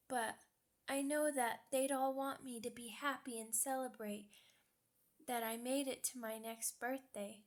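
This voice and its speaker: A woman voicing a sweet, timid young werewolf child in a soft ASMR roleplay, speaking with innocent, halting hesitation. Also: high voice. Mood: wistful, affectionate. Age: child of six or seven (figure).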